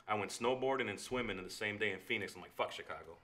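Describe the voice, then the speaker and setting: A man in his forties whose first language is Spanish, talking fast with a bright, forceful tone. He swears freely and tells stories in an animated way. He is a podcast guest in a small living room, speaking into a standing mic. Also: fairly low pitch. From United States. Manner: fast, profane, animated storytelling. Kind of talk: casual storytelling chat. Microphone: studio mic on a stand.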